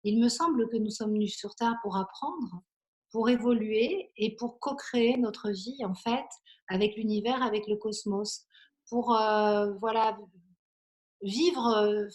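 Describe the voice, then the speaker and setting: A woman in her forties from Belgium, an interview guest speaking reflectively and earnestly, often halting as she searches for words. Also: on the high side. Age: 40s.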